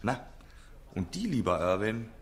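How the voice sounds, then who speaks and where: An actor playing a witty, theatrical gentleman in a German radio play, speaking with ironic flourish. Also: on the low side, sing-song, unhurried, creaky, exaggerated voice. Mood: wryly amused. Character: Gilroy, dapper, witty, theatrical male colleague.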